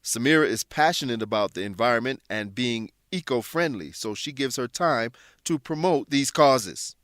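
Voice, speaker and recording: A man reads scripted educational narration in a deliberate, clearly worded, instructional manner. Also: mid-range voice, animated, fairly bright tone, dry recording, clean studio sound. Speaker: narrator and English teacher.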